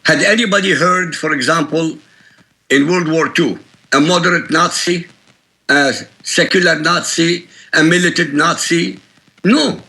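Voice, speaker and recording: Man, Iraqi Arabic accent, native Arabic speaker, gravelly, sharp and forceful, emphatic guest. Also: on the high side, a little slow, rough recording. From Iraq. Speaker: guest author. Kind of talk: TV interview answers.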